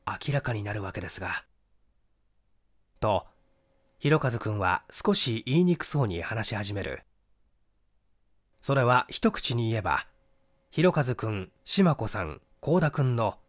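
Lively man reading a detective story. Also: mid-range voice. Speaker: audiobook reader. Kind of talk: scripted literary narration of a detective story.

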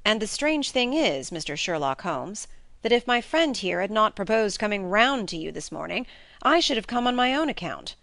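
A woman voicing a brisk, plain-spoken gentleman in an audiobook, emphatic, blunt and colloquial in manner. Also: mid-range voice, very animated, vocal fry, dry recording. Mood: frank, puzzled. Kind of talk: acted audiobook dialogue.

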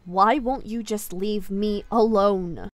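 A woman's voice: medium pitch, takes it slowly, no echo, natural voice. A woman voicing an exasperated, despairing young woman in a comic-book dub, guarded and sarcastic. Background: background music.

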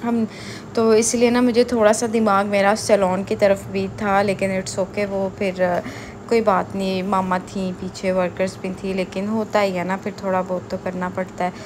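A woman's voice: medium pitch, flat delivery, normal speed, background noise. A young woman, chatting steadily as she narrates her salon vlog, leaning on filler phrases. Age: young adult. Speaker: salon owner and beauty vlogger. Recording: clear room ambience behind.

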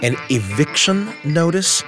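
A man hosting a storytelling radio show, narrating an old story in scripted, animated fashion. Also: a little high, unhurried, music in the background.

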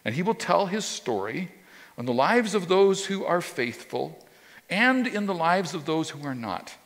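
A man's voice: high voice, sing-song, a little slow, a bit bright. A man in his fifties preaching a sermon as pastor, talking in a loose conversational way with frequent restarts and repeated words. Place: church stage with a drum kit behind, indoors. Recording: long reverb of a church hall.

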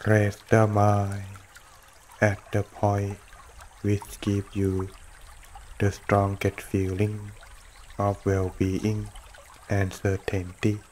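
Male meditation guide, low-pitched and extremely slow, gentle short phrases with long pauses, trickling stream behind. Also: monotone, a little hiss or hum. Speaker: meditation teacher. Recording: running water throughout. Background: trickling stream water.

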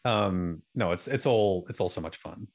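A man's voice: sits low, moderate pace. A young man chatting casually as a panel guest, recorded on a close podcast mic in a room. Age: young adult. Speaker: panel guest, sound effects performer. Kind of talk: casual chat. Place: indoor room, video call. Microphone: close boom-arm podcast mic.

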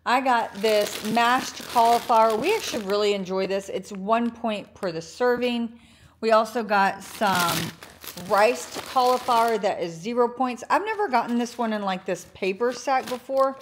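A woman's voice: fairly high pitch, moderate pace, a little hiss or hum. A young woman casually chatting about her grocery haul at home, enthusiastic, with lots of filler words like "like" and "um.". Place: home kitchen counter.

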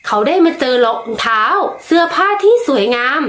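A woman reads a children's fairy tale in a high, bright register, her pitch rising and falling in a lilting storyteller's way. The reading is unhurried and expressive. The room around her adds a noticeable echo. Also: a little hiss or hum.

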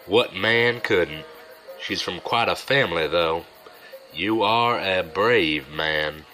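An actor playing a sly, regretful gambler and old friend, wry and confiding, in an audio drama. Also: male voice, deep voice, takes it slowly, pushed and bright, faint music behind, exaggerated voice. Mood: confiding, teasing. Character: sly, regretful gambler, smooth-talking old buddy, man.

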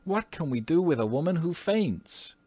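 A man voicing a fussy, indignant lady of the house who exclaims in a shrill tone. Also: very animated, moderate pace, a little mellow, breathy edge, dry recording. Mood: exasperated.

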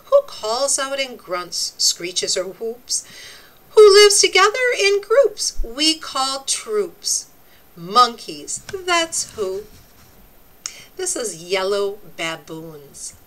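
Animated, high-voiced woman reading to children. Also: poor audio. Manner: deliberate, expressive, teacherly phrasing. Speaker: reader of a children's nonfiction book. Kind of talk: read-aloud for young children with explanatory asides.

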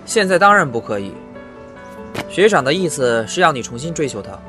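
Male actor as cheeky younger student, forthright and teasing, highish voice, very animated, audio drama. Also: brisk. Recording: music behind the voice. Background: music.

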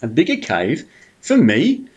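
A man's voice: mid-range voice, wildly swooping pitch, unhurried, slightly rough, lo-fi sound, exaggerated voice. A man voicing a big, sleepy male bear who talks in a drowsy, mild way.

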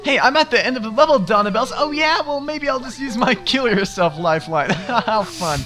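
A man's voice: very high-pitched, lively intonation, moderate pace, music in the background. A man casually bantering during a game race, goofy and playful, often repeating his words. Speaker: gaming video co-host.

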